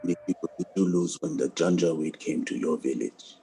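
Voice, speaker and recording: A man reading the part of a resolute, sorrowful soldier bent on revenge, in a quiet, deliberate, grave way. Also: low voice, slight background noise. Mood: bitter grief. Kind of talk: dramatic script table read.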